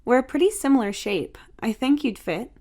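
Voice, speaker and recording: A woman's voice: fairly high pitch, animated, normal speed, mellow, voice pushed up. A woman voicing a teasing, bossy but caring big sister, a young beautician, in breezy, coaxing audiobook dialogue.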